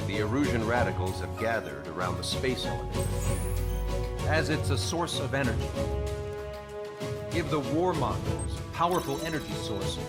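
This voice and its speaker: An actor playing a steady, serious squadron officer giving a military briefing in a game. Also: male voice, mid-range voice, lightly acted.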